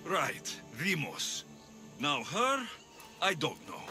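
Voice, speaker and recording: A man's voice: a little high, huge pitch swings, slow pace, over music, full character voice. A man playing a young Greek mercenary in a video game, earnest and defiant, pleading for his family. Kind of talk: video game dramatic acting.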